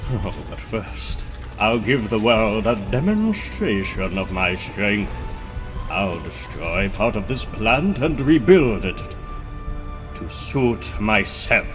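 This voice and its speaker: A man's voice: fairly low pitch, animated, slow pace, fairly bright tone, soft background music. A man playing a boastful, menacing mad-scientist supervillain in a dramatic comic book reading. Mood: triumphant. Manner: grandiose, menacing, theatrical. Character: boastful megalomaniac supervillain scientist with mechanical arms.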